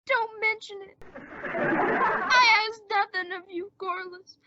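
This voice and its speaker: Woman playing a high-pitched, melodramatic teenage boy. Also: very noisy. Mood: mock-dying, self-pitying. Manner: drawn-out mock-dying groans and boyish bluster. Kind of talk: old-time radio comedy acting. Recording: dull, band-limited dry audio.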